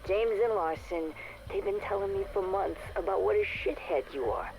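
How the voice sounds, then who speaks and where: An actress playing a tough, sardonic facility worker with a blunt, sarcastic, slangy way of talking in a game audio log. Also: female voice, medium pitch, moderate pace, creaky, darkish tone, natural voice, rough recording. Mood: angry, threatening.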